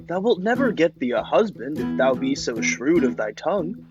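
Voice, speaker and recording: A man plays a hearty older father in a Shakespearean radio play. His voice is fairly high and swoops dramatically, commanding yet fond, with guitar music behind. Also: big pitch swings, normal speed. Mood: wry.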